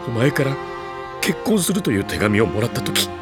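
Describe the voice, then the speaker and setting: A man's voice: mid-range voice, very animated, moderate pace, natural voice. An actor playing a tormented, obsessive, guilt-ridden man in an audio drama, confessing his possessive love with anguished intensity. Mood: anguished, desperate. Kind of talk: Japanese audio drama acting. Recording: loud orchestral string music over voice. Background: cello and string music.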